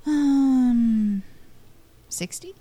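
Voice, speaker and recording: Slow-talking woman guessing trivia answers. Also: high voice, dark tone, no echo. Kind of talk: casual quiz chat.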